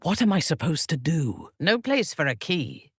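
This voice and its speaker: An actress voicing a ruthless, imperious female cult commander in a fantasy video game, with a measured, cold and menacing delivery. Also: low voice, sing-song, husky, no room sound, clean studio sound, heavily acted. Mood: musing, wry.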